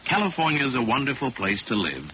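A muffled, hissy old radio recording carries a male actor as an urbane young American adventurer. He sounds genial and polished, with animated, varied intonation. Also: medium pitch, fast, lightly acted. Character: wealthy, urbane young American crime-fighting hero, genial. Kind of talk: 1940s radio adventure acting.

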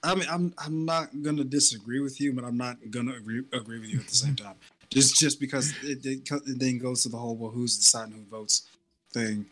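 A man's voice: mid-range voice, steady tone, fairly quick, fairly bright tone. Rambling man with lots of fillers. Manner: rambling, hedging, trails off into fillers. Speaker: podcast co-host. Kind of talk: casual chat.

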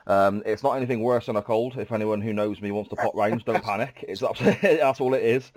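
A man with a lowish voice hosts a podcast, sounding congested from a cold. He chats politely and fills pauses with ums. His voice sounds dry and close. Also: a little mellow, no echo. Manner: chatty, polite, frequent ums. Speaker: podcast host. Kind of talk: casual interview chat.

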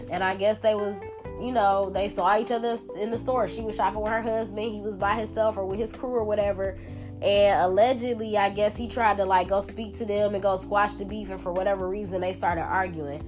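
A young woman with a congested voice from a cold, hosting a solo podcast in a blunt, profane, casual way. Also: fairly high pitch. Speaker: podcast host.

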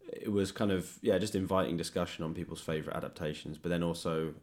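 A man's voice: deep voice, fairly even intonation, fast talker, a little mellow. A man hosting a conversational interview, phrasing his questions and thoughts tentatively and carefully. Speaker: podcast host. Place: indoors at a home.

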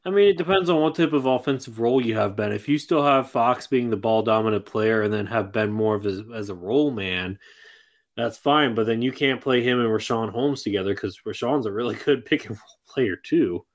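Male co-host, mid-pitched everyday voice, opinionated, quick and loose chat. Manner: opinionated, talks quickly, stumbles over words. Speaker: podcast co-host. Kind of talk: casual sports podcast chat.

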